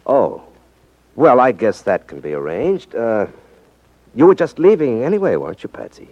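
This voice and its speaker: An American actor playing a wry, easygoing private detective in a 1950s radio crime drama. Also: male voice, medium pitch, wildly swooping pitch, moderate pace, darkish tone, no room sound. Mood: wry, suave. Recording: dull 1950s radio recording.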